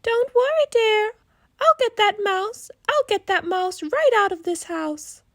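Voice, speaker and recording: A woman voicing a bold, determined mother in a children's storybook, loud, shrill and exclaiming. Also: lively intonation, unhurried, dry recording, studio quality, heavily acted.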